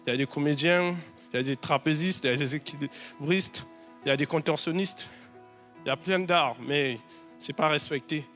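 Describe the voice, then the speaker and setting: A man's voice: on the high side, fairly bright tone. A man in his early twenties with a Central African French accent, speaking earnestly and formally as a talent show contestant. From Congo. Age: early 20s. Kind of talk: answering judges on stage. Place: large theatre stage. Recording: TV stage with music and audience noise.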